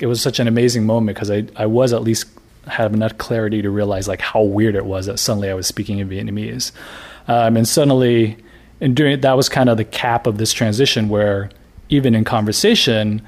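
A man in his thirties from the United States, an author talking casually in an interview with fillers and restarts. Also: lowish voice. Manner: relaxed, chatty, many fillers and restarts. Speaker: interviewee, graphic novelist.

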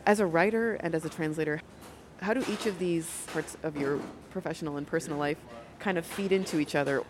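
A woman's voice: low voice, fairly quick, background noise. A woman hosting a show, interviewing a writer and framing long, articulate questions. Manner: articulate, lays out questions at length.

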